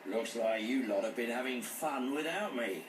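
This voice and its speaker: A British-accented man playing a gruff, roguish older mercenary and mentor in a video game. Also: mid-range voice, normal speed, natural voice. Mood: wry, teasing. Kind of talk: video game cutscene acting. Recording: echoey, distant, noisy game audio.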